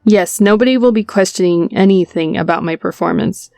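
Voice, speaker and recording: A woman plays a chummy, efficient waitress in an audio drama, polite with a sly edge. Her voice is clean and smooth and a little low. The recording is close and dry. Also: fairly quick, warm tone, no echo. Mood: chummy, knowing. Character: chummy, efficient waitress serving a vampire, slyly knowing. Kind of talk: audio roleplay acting.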